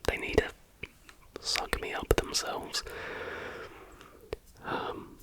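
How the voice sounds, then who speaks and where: A man whispering in a breathy, unvoiced ASMR style, reading stories aloud as a host. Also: extremely slow. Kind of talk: whispered ASMR storytelling, reading with casual asides.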